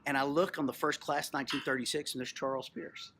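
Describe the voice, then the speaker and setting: A middle-aged man from Texas telling a personal story informally as a museum co-host, in a reflective, halting way with repeated phrases. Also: medium pitch, little pitch movement. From Texas, United States. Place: on location at a historic lodge. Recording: clear background noise, on location.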